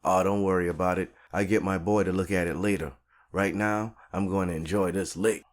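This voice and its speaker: A man's voice: low-pitched, fairly even intonation, brisk, lightly acted. A man playing a confident, street-smart neighborhood guy planning a job in an audio drama, talking in laid-back slang. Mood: curious, dismissive. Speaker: storytime host and character actor.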